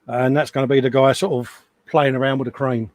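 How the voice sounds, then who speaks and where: A man's voice: medium pitch, little pitch movement, a little fast, a little mellow, dry recording. A man co-hosting a live hobby stream, chatting casually about his models and reading out viewer comments. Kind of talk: casual chat.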